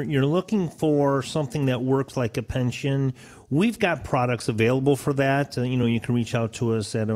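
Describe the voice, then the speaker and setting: A man in his sixties offers retirement advice in a loose, chatty way. He circles back with fillers and restarts as he talks. His voice is an ordinary mid pitch. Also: darkish tone. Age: 60s. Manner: rambling, filler-heavy, frequent restarts. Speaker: retirement advisor and co-host. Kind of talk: conversational advice.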